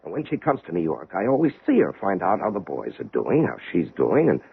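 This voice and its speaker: A man playing an exasperated, protective middle-aged husband in a 1970s radio drama, emphatic and pleading, with a raspy voice. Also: sits low, wildly swooping pitch, fast, phone-quality sound, heavily acted. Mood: worried, confiding.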